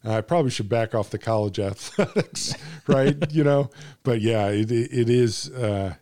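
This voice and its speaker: A man hosting a casual sports chat, agreeable and halting, with many restarts and affirmations. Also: medium pitch, darkish tone.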